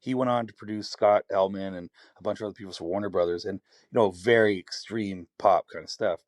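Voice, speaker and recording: Raspy canadian guy, lowish voice, rambles and restarts a lot, dry close sound. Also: fairly quick, no echo. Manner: candid, rambling storytelling with many restarts. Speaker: singer-songwriter guest. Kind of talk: podcast conversation.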